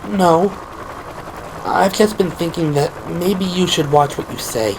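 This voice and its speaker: A man voicing a guarded, anxious young policewoman in an audio drama, speaking in a hushed, confiding way over noise. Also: on the high side, animated, takes it slowly, voice pushed up.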